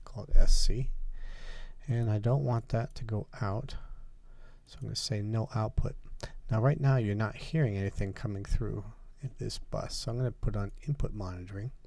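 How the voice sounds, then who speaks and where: Low, mellow man giving a tutorial. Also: fairly even intonation, moderate pace, vocal fry, no room sound. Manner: methodical, step-by-step explaining. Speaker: music production instructor. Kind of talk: software tutorial, talking through steps.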